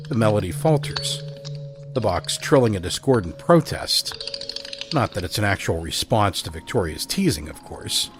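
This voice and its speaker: Low, measured male drama narrator. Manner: measured, wry, literary. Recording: faint music score beneath.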